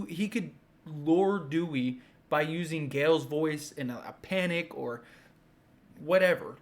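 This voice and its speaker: A man giving casual, unscripted opinion commentary as a fan host, enthusiastic and emphatic, rambling and circling back on his points. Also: fairly high pitch.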